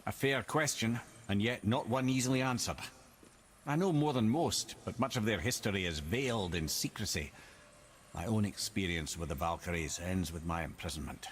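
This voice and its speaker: A man's voice: lowish voice, moderate pace, a little hiss or hum, natural voice. A male actor with a Scottish accent playing a wry, talkative, learned old companion in a video game. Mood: guarded. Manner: wry, eloquent, theatrical.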